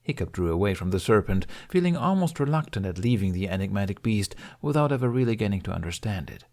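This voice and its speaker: A man's voice: on the low side, big pitch swings, fast, darkish tone. A man reading scripted fantasy fiction as an audiobook narrator.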